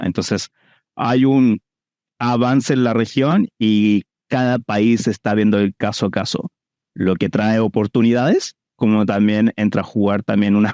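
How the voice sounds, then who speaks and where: A man's voice: lowish voice, warm tone, no echo, dull audio. A man with a Chilean accent giving conversational answers as an investor guest in an interview.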